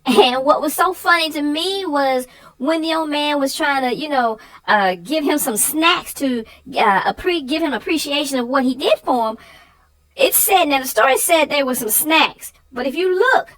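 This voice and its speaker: A woman's voice: sits high, expressive, normal speed, fairly bright tone, poor audio. A woman co-hosting a children's story channel, discussing stories in a conversational style.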